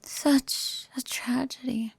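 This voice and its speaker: A woman puts on a dramatic, possessive female pirate captain for an ASMR roleplay. Her fairly high voice is husky and crackles with fry. She is sultry and taunting, often dropping into whispers. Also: steady tone, takes it slowly, dry recording, heavily acted.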